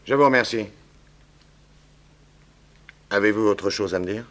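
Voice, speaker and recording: An actor playing a calm, courteous detective who questions people methodically in a 1960s French radio drama. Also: male voice, on the low side. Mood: courteous, businesslike. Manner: measured, courteous, probing.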